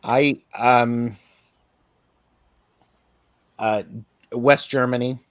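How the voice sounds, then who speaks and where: A man's voice: mid-range voice, fairly even intonation, very slow, clear, resonant tone, no room sound. A middle-aged man co-hosting a casual chat, telling stories with small acted asides. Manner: chatty, storytelling with acted asides. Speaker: co-host, tarot reader.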